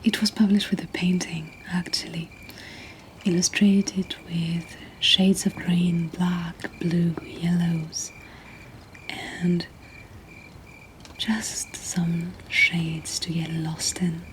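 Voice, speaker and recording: Slow whispering woman reading poetry. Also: fairly low pitch, flat delivery. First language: not English. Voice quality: breathy, whispered. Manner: slow, gentle, deliberate delivery. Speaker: host reading submitted poetry. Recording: nighttime cricket ambience behind, faint noise. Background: crickets and insect ambience.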